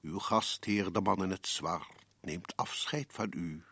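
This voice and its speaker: Sardonic mystery narrator, deep husky voice, wry courtesy, radio play storyteller. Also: male voice, a bit bright, no room sound, exaggerated voice. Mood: wry, ominous. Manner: measured, wry, darkly courteous. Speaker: narrator and host.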